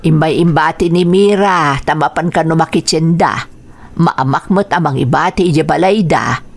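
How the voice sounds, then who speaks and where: A woman with an Ilocano accent playing a caring, protective older grandmother in a radio drama, with drawn-out motherly interjections. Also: sits low, vocal fry. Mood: fond. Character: older grandmother, caring and defensive of her daughter.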